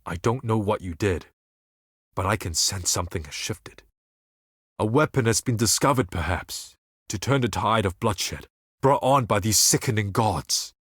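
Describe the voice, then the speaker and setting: An actor playing a blind, scheming and tormented desert seer in a fantasy game, speaking in solemn, formal, theatrical monologue. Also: male voice, on the low side, animated, fairly bright tone, slightly rough, dry recording, clean studio sound. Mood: wary. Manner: solemn, formal, ominous, deliberate theatrical delivery.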